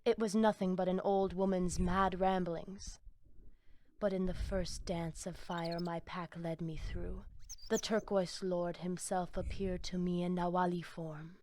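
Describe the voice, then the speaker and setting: A fanatical female vampire cultist from a video game, acted out by a woman. Her voice sits fairly low for a woman. She speaks with solemn grandeur and cryptic pride. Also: flat delivery, unhurried, darkish tone, no room sound, lightly acted. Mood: mystical, proud. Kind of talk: video game character dialogue.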